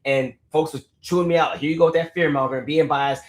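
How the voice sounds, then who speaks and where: Fast-talking emphatic man in roomy echo. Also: on the high side. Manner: animated, emphatic, repeats words and restarts while riffing. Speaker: political commentary host. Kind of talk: casual livestream commentary.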